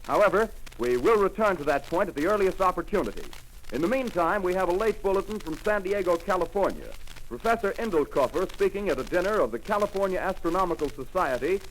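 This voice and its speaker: A formal old-time radio announcer, measured, bit high voice, faint hiss. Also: male voice, expressive, low-quality recording. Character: calm adult male studio radio announcer. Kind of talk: 1930s radio drama acting. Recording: hissy 1930s radio broadcast.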